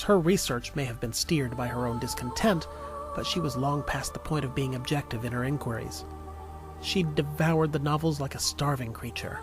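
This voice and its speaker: A man reading scripted narration for an audio drama in a storytelling style. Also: mid-range voice. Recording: music under the voice. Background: music.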